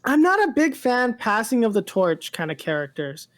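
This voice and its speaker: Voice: mid-range voice, sing-song, moderate pace, fairly bright tone. A podcast co-host chatting casually about pop culture, rambling and often repeating phrases.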